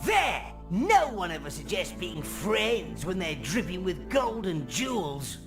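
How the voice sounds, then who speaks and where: A man's voice: high-pitched, sing-song, slow, full character voice. An actor voicing a fawning old minion advisor who gives sardonic advice to his master. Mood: wry. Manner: sardonic, obsequious asides. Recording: music behind.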